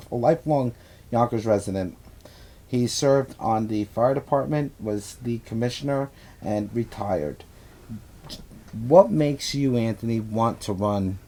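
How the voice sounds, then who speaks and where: A man hosting a local interview show, asking questions casually with some halting fillers. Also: mid-range voice, mellow. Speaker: host. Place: a guest's home.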